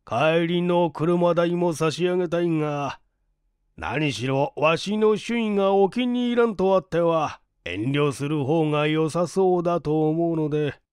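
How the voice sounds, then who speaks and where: Man acting emphatic, blustering older gentleman, slow delivery. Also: fairly high pitch, clean tone, no room sound, studio quality, voice pushed up, lightly acted. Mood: huffy, formal. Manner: emphatic, old-fashioned, grandly exclaiming. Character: well-off older gentleman, blustering yet kindhearted, easily moved.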